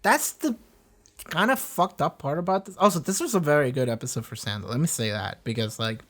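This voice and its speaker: Man rambling casually, higher voice. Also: lively intonation, a little fast, fairly bright tone. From Argentina. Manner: loose, swearing, many fillers and restarts. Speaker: reaction video host.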